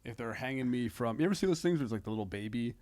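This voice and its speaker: A man's voice: medium pitch, lively intonation, racing, crowd noise. Man chatting casually as podcast host. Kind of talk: casual comedic podcast chat.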